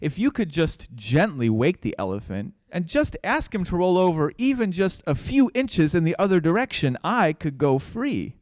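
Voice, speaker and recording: A man's voice: on the high side, big pitch swings, normal speed, few pauses, darkish tone, dry recording, voice pushed up. A man voicing a small male mouse, stuck and pleading for help in a storybook.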